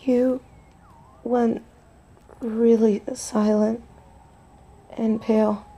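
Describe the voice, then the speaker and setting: A woman's voice: fairly high pitch, little pitch movement, dark tone, muffled recording, full character voice. A woman playing a tender, nervous young biker girlfriend in an intimate roleplay audio drama, speaking hesitantly and breathily. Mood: nervous, tearful. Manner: hesitant, breathy, halting delivery with whispers.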